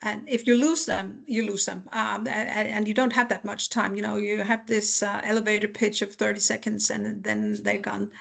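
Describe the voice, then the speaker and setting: A woman's voice: medium pitch, flat delivery, moderate pace, vocal fry, few pauses. A middle-aged woman, a former company CEO, giving practical advice while answering audience questions in a webinar.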